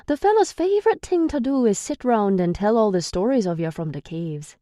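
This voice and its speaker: A woman voicing an irritable, sarcastic young male captor who scolds loudly and with emphasis. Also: medium pitch, animated, brisk, dry recording, clean studio sound, voice pushed up, lightly acted. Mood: teasing.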